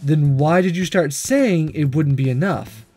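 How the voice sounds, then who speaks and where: A let's player voicing a concerned high school student in a visual novel, plain and questioning, over game music. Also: unhurried, darkish tone, close to an everyday voice. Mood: pressing.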